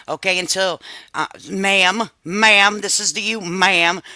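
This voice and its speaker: A woman hosting a reaction commentary channel, pausing another video to talk over it in a chatty way, scolding commenters and laughing at herself. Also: on the low side, bright, forceful tone, dry recording.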